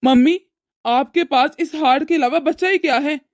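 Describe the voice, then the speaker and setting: A woman voicing an exasperated, cash-strapped adult son who protests and reasons with his mother in an animated family drama. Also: high-pitched, moderate pace, no echo, studio quality, lightly acted. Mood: reproachful.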